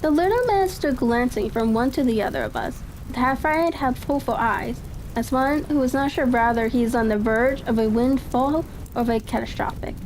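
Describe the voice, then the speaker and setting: A woman's voice: fairly high pitch, moderate pace, a little mellow. A woman reads a classic story in a tranquil ASMR style, now and then stumbling over words. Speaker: audiobook reader. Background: ambient fireplace crackle.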